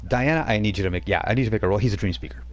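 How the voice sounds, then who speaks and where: A man's voice: lowish voice, very fast. A man running a tabletop game as game master, setting scenes in a loose, rambling way with casual asides.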